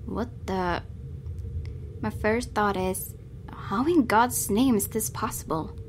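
Female let's play host, average pitch, dramatic read-aloud narration, slight noise behind the voice. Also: slow.

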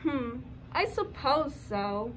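A woman's voice: sits high, big pitch swings, slow, heavily acted, low-quality recording. A young woman voicing a gushing, eager female puppet in a scripted puppet show. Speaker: student puppeteer. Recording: faint background noise.

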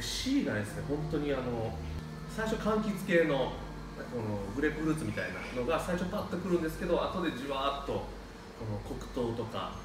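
Man, thirties, animated, café host, far from mic, echoey room. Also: mid-range voice, noisy background. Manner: animated, laughs often, thinks aloud. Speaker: coffee shop owner and host. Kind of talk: casual chat during a coffee tasting. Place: small bright café seating area, indoors. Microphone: camera from a few meters away.

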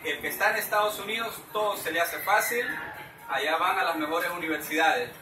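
A man's voice: high voice, fairly quick, over music. A middle-aged man from El Salvador hosting a casual interview at home, asking friendly questions with a few fillers. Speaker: interviewer and channel host. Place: living room at home. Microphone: far camera. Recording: roomy, far from the camera mic.

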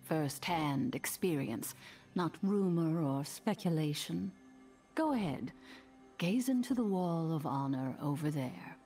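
A woman's voice: deep voice, lively intonation, takes it slowly, over music, exaggerated voice. A woman playing a welcoming, proud archivist in a fantasy game, assured and inviting. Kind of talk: fantasy video game character acting.

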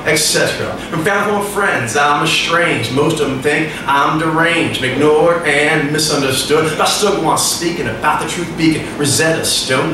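A man in his thirties performing rhymed spoken-word poetry in a declamatory, rhythmic way, at a stand microphone in a small indoor venue. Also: a little high. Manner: declamatory, emphatic, rhythmic delivery. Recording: echoey live room, distant mic, clear noise.

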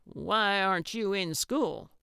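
A woman's voice: mid-range voice, slow pace, creaky, bright, forceful tone, clear, resonant tone, dry recording, clean studio sound, full character voice. A woman voicing a brusque, cranky older woman in an audiobook, snappish and blunt but well-meaning. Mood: gruff, demanding. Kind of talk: audiobook character acting.

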